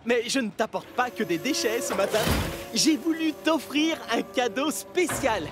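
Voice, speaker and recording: An actor voicing an eager, kind-hearted young male steam locomotive in a French cartoon dub, with an earnest, excitable manner. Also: mid-range voice, unhurried, loud music behind the voice, natural voice. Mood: cheerful, eager.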